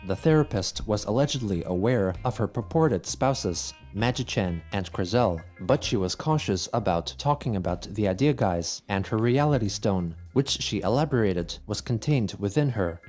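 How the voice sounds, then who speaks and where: A man reading scripted documentary narration in a flat, steady way. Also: fairly low pitch, moderate pace, hardly any pauses, faint music behind. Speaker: video narrator.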